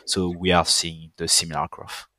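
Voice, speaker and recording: A young man with a French accent, a guest on a business podcast, speaking in deliberate, halting second-language phrasing. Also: low voice, flat delivery, pushed and bright, no room sound. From French Caribbean island. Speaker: guest, partner account manager.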